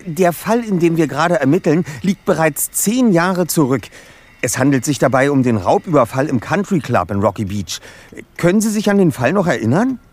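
Lively, high-pitched clever boy detective. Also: moderate pace. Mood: polite, formal. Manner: eloquent, precise, slightly pompous. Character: clever, self-assured boy detective leader, precise, formal. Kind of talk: German audio drama acting.

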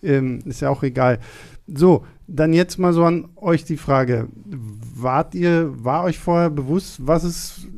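Mellow-voiced German podcast host. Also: male voice, a little high, slow pace. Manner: loose, hesitant, many fillers. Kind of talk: casual film podcast chat. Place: studio.

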